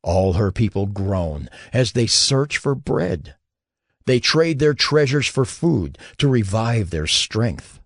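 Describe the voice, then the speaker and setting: A man narrating scripture in a solemn, dramatized style, with grave, measured, dramatic phrasing. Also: mid-range voice, sing-song, no room sound, studio quality. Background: music behind the voice.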